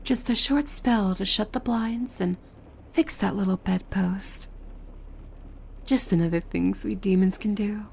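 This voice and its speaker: A woman acts out a yandere demon maid, utterly devoted and possessive of her master. She speaks at a very slow pace in a mid-pitched voice, formal and servile with a threat beneath it, often dropping into whispers. It is an ASMR roleplay with clearly audible background noise. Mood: tender, controlling.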